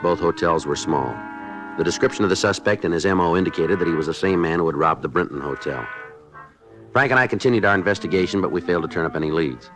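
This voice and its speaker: An actor playing a matter-of-fact police detective in a 1950s radio drama, clipped, deadpan and polite. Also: male voice, low voice, quick pace, over music, close to an everyday voice. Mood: official, narrating. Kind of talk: 1950s radio drama acting with scripted narration.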